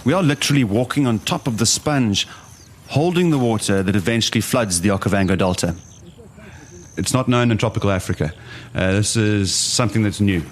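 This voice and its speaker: A man with a slightly low voice describes his expedition first-hand. His delivery is reflective and descriptive, with faint noise and ambience behind him. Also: a little fast. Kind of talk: first-hand documentary recollection.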